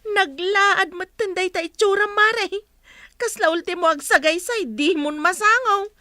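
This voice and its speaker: Actress as spiteful gossipy neighbour, Ilocano Filipino accent, shrill and slow, drawling mockery for radio drama. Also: female voice, sharp tone, no room sound, clean studio sound, heavily acted. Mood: mocking. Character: catty, mocking village woman taunting a neighbour's looks.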